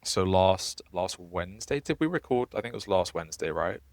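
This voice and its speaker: A man's voice: low-pitched, animated, a little fast, no room sound. A young man co-hosting a podcast, talking in a casual, conversational way. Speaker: podcast co-host. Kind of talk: casual chat.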